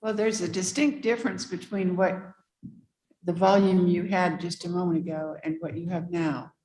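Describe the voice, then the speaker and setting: A woman's voice: lowish voice, fairly even intonation, normal speed, darkish tone, roomy sound, poor audio. A woman chairing a remote meeting who speaks in a direct, businesslike way. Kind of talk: presiding over a remote meeting.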